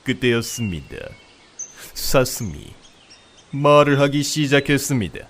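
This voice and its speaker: A man's voice: medium pitch, expressive, measured pace, a bit bright, a little hiss or hum. A man narrating a comedic fairy tale from a script as a storyteller. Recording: outdoor nature ambience, birdsong behind.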